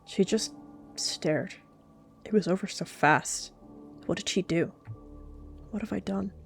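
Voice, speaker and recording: A young woman narrating a cat roleplay story in a soft, earnest storytelling manner. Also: medium pitch, slow, creaky, faint music behind.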